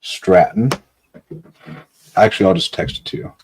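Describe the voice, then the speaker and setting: A man's voice: low voice, normal speed, darkish tone, slight background noise. A man from California, a real estate investor, chatting casually on a phone call.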